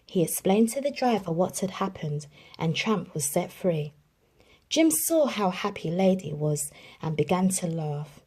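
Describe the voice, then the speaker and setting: A woman reading a children's storybook aloud in an expressive storytelling manner. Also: lowish voice, measured pace, breathy edge. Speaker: children's book reader.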